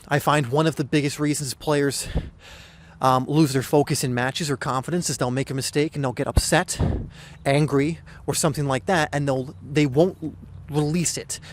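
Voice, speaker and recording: A young man hosting a soccer podcast, talking casually and earnestly with a hoarse, slightly weak voice and frequent restarts. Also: fairly high pitch, steady tone, normal speed, slight background noise. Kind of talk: casual solo podcast talk.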